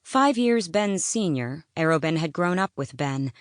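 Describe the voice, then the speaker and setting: A woman's voice: deep voice, expressive, a little slow, dry recording, studio quality. A woman narrating an audiobook, reading scripted fiction in a dramatic storytelling style.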